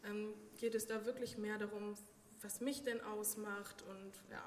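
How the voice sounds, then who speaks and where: A woman's voice: medium pitch, fairly even intonation, measured pace. A woman from Germany, a native German speaker, answering as an interview guest in a casual, personal way with wry, self-deprecating humour. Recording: echoey hall reverb, faint noise.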